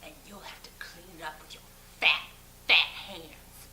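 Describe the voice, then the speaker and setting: A woman playing a melodramatic, vengeful young woman, making drawn-out threats in an overwrought theatrical monologue. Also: low-pitched, steady tone, pushed and bright, in a whisper, heavily acted. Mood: menacing, overwrought. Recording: roomy echo, noisy background.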